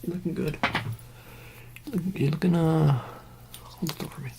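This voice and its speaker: A soft-spoken ASMR roleplayer, sometimes whispering, playing an offhand handler in a hesitant, rambling, casually reassuring way. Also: medium pitch, fairly even intonation, unhurried, creaky, noisy background. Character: offhand handler preparing an alien for public exposure.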